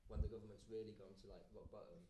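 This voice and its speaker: A British man chatting as a podcast panelist, halting and reworking his sentences as he goes. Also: on the low side, flat delivery, crowd noise. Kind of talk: casual discussion. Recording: roomy echo with loud background noise.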